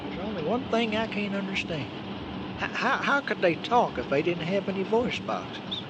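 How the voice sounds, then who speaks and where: Rural truck driver, high voice, flustered, stammering, folksy, old-time radio play, noisy rumbling backdrop. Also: male voice, very animated, normal speed. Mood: shaken, bewildered. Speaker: actor in radio drama. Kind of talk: old-time radio horror comedy acting.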